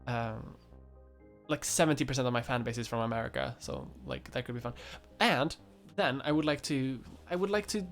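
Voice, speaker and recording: A man's voice: mid-range voice, sing-song, moderate pace, a bit bright. Rambling young man with faint music. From Europe. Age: 20s. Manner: rambling, many fillers and restarts, laughs often. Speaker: YouTuber. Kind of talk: casual livestream chat.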